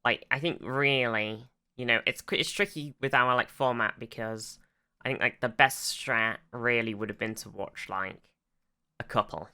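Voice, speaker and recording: Bright-voiced, halting podcast fan. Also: medium pitch, smooth. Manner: hesitant, often repeats words and restarts. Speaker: podcast co-host. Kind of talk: casual fan discussion of a TV show.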